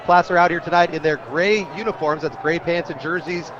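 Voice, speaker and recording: A man's voice: a little high, brisk, muffled recording. An American man doing live play-by-play radio commentary of a football game from a stadium booth. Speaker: play-by-play announcer. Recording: stadium crowd noise behind voice. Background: crowd at an outdoor stadium.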